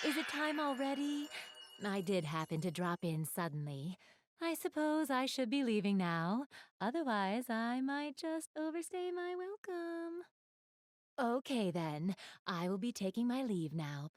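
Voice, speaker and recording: A woman's voice: on the high side, no echo, clean studio sound. A woman voicing a polite, ladylike young goddess in a video game, speaking formally and graciously to the player. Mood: polite, fond. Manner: formal, refined, gently ladylike phrasing.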